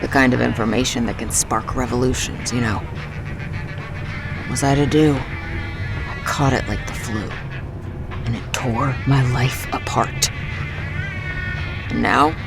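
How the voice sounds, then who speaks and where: An actress playing a world-weary exiled ex-cop in noir audio drama narration, brooding, wry and terse. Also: female voice, fairly even intonation, measured pace, creaky, long pauses, full character voice. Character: world-weary exiled woman, ex-cop and ex-boxer, hard-boiled. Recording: loud music and engine rumble behind.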